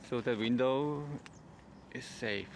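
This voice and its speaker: A man with a Korean accent, whose first language is Korean, gives a car review walkthrough in plain, halting phrases. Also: medium pitch, very noisy. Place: in and around a car.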